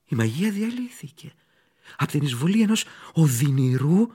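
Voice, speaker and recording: Ominous horror radio play narrator, dramatic swings in pitch, music loud behind. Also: male voice, very animated, slightly rough, exaggerated voice. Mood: tense, awed. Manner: theatrical, suspenseful. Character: male narrator of a cosmic horror tale, grave and ominous.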